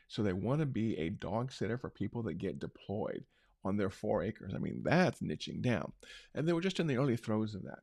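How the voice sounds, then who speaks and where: Middle-aged man, booming voice, low pitch, dry close sound, unscripted conversational talk. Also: darkish tone, no echo. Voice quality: big booming voice. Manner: unscripted, conversational, many restarts. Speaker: marketing show host. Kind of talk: casual talk-show recap.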